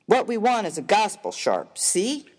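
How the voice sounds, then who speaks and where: A rough American frontier miner voiced by a man, folksy and slangy in a comic story reading. His pitch swings widely as he makes each point emphatically. The recording rings with a long, hall-like reverb. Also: huge pitch swings, a little slow, exaggerated voice. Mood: earnest, slangy. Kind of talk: dramatized reading of a humorous story.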